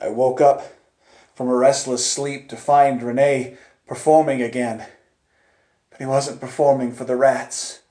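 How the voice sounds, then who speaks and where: A man telling a dramatic first-person story in a theatrical manner, moving between hushed tones and urgent cries. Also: mid-range voice, little pitch movement, unhurried. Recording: echoey, roomy sound.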